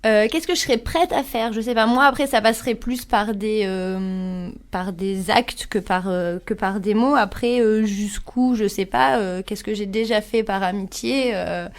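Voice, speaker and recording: A woman speaking as a guest in a casual studio discussion, tentative and pausing to find her words. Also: medium pitch. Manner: tentative, pauses to search for words.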